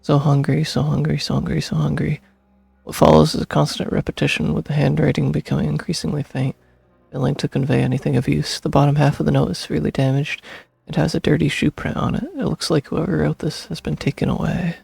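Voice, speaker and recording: A young man streaming a game, reading its text aloud and commenting casually, with a creaky, husky voice. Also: on the high side, level tone, quick pace, no echo. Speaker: gaming streamer. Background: soft game music.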